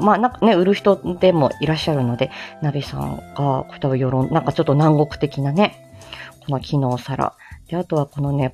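A woman's voice: low voice, darkish tone. A woman from Japan chatting casually as a livestream host, in a giggly, self-deprecating manner. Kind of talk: casual morning livestream chat.

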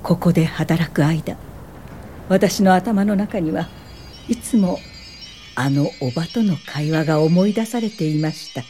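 An actress playing an earnest, caring woman social worker in a radio drama, speaking softly and politely as she acts and narrates. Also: on the low side, a little slow, faint music behind, close to an everyday voice. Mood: reflective, serious. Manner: soft-spoken, caring, polite.

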